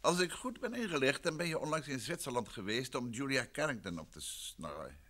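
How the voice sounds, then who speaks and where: An actor playing a composed, inquisitive detective in a Dutch radio play, politely probing. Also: male voice, mid-range voice, very animated, fairly quick, pushed and bright. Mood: probing, friendly.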